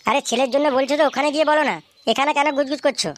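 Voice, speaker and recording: A woman's voice: high voice, very fast, natural voice. A woman voicing an indignant young daughter-in-law in a comedy cartoon, scolding and questioning in exasperation. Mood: exasperated.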